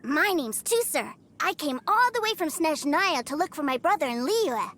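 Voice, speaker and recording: A woman's voice: high-pitched, very animated, normal speed, slight background noise. A woman voicing a cheerful, stubborn little boy in a game cutscene, talking in an eager, sing-song way. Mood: earnest. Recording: game cutscene with loud music. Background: game music.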